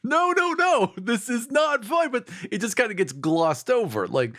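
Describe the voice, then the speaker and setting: A man's voice: extremely high pitch, very animated, a little slow, fairly bright tone. A man talking casually and animatedly in a podcast discussion, acting out imagined quotes as he goes.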